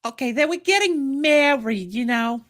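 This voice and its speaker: A man voicing a prim, motherly young girl telling a storybook tale to children, drawn-out and admonishing. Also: very high voice, animated, fairly bright tone, pitched above the performer's own voice. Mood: patient storytelling. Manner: slow, drawn-out, admonishing delivery. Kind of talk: dramatic storybook reading.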